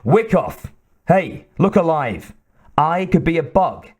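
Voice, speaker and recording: A man voicing a gruff, sarcastic male company boss with a booming way of talking. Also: big pitch swings, takes it slowly, exaggerated voice.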